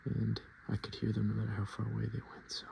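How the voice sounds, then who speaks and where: A man with a fairly low voice speaks softly in casual ASMR commentary, slipping often into a whisper. His voice is heavily creaky, and he murmurs in a halting, hesitant way. There is clear noise in the recording. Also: monotone. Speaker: ASMR video host. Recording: noticeable background noise.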